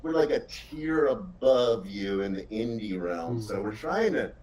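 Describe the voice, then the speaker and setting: A man's voice: lowish voice, animated, a little slow, darkish tone, recorded from a distance, rough recording. A middle-aged man chatting casually and informally as a game developer, with an enthusiastic, encouraging way of talking.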